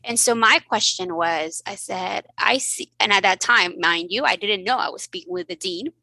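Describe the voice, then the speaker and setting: A woman who grew up in Mexico with Spanish as her first language, telling lively stories as a guest physician in a casual interview. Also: mid-range voice, normal speed, creaky, no echo, rough recording. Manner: animated, laughing storyteller.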